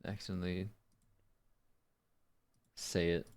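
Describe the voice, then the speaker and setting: A man's voice: low voice, little pitch movement, slow pace, a little mellow, clean tone. A man streaming casually, talking offhand and jokingly, partly muttering to himself as he works. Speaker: streamer and voice actor. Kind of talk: casual livestream chat.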